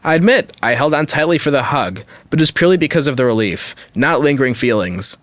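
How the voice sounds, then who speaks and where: A man with a mid-pitched voice hosts a podcast in a very dry, close-sounding space. He reads a personal story aloud and drops in casual, wry asides as he goes. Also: animated, quick pace, a bit bright, no room sound. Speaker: podcast host.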